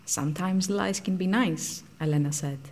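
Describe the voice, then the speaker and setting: Actress doing intense wistful woman scientist in a radio play, lowish voice, honest and deliberate, heartbroken storytelling. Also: a little mellow, lightly acted. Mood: regretful, subdued. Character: intense, candid Greek woman scientist recounting a painful lost love. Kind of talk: audio drama acting, confessional storytelling.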